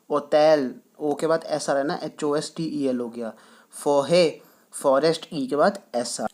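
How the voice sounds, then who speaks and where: A man teaching a French lesson, patiently repeating each point and reading rules slowly. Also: a little high, darkish tone. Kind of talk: explaining a language lesson, mixing in English.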